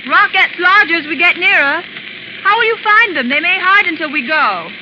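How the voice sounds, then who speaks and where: A woman's voice: very high-pitched, lively intonation, moderate pace. A woman playing a sleuth's earnest, worried young female companion in a 1930s radio drama. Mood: anxious. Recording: noisy muffled 1930s radio recording, engine drone.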